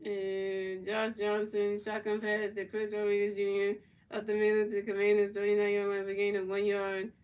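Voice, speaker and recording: A woman's voice: medium pitch, smooth, distant mic, low-quality recording. A woman streamer doing play-by-play commentary of a football video game and answering her chat in casual slang. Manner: announces plays in a measured, even delivery.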